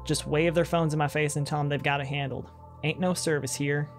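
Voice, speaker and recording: A man's voice: fairly high pitch, level tone, fairly quick, soft background music, natural voice. A man voicing a jovial, folksy farmer with a Southern drawl. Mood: hearty.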